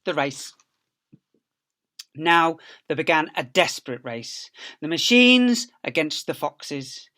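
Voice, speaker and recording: Bright-voiced male teacher reading a storybook. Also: a little high, big pitch swings, slow, long pauses. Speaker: infant school teacher. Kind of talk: expressive storybook reading for young children.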